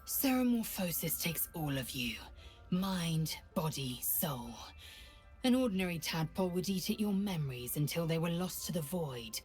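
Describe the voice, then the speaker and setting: A woman playing a stern, haughty alien warrior in a fantasy video game, speaking in a clipped, disdainful, commanding way with a husky rasp. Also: fairly low pitch, animated, normal speed, a little mellow, soft background music, lightly acted. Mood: grim, stern. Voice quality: husky, raspy.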